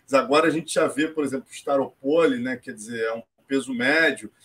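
A man's voice: mid-range voice, fairly bright tone. Middle-aged brazilian guy interviewing, casual, stumbles a bit, earphones on a call. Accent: Brazilian Portuguese. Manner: chatty, informal, halting questions. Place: home office with shelves. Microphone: earphone headset on video call.

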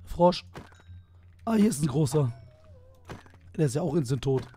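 A hoarse young man streaming a game on a headset, chatting along excitedly as he plays. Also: a little high, unhurried. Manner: excitable, thinking aloud, swearing at surprises. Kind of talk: casual gaming commentary. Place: small room at a desk.

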